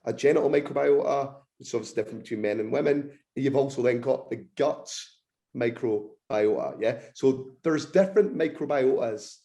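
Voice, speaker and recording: A male researcher in his thirties speaks as a podcast guest, his voice croaky and a little hoarse with a gravelly edge. He explains technical ideas with real enthusiasm. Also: mid-range voice, normal speed, mellow. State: croaky, slightly hoarse throat.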